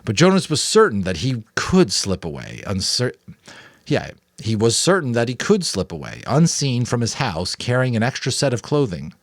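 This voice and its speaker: A man's voice: mid-range voice, moderate pace, no echo, studio quality. A man narrating a book expressively, breaking off for casual commentary. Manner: casual asides between expressive reading. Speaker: amateur audiobook narrator.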